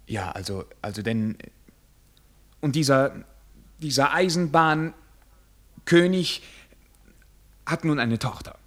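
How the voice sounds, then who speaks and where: An actor playing a haughty, naive German prince in a radio play, speaking in a formal, stilted and hesitant manner. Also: male voice, medium pitch, animated, slow pace, pauses often, fairly bright tone. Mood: bewildered, disdainful. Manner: formal, stilted, hesitant with affected filler sounds.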